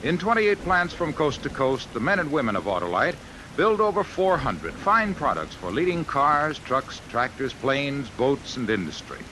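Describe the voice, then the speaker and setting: A man's voice: medium pitch, sing-song, moderate pace, sharp tone, rough recording. An American man reading 1950s radio advertising as a polished, emphatic commercial announcer. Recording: hissy old radio recording.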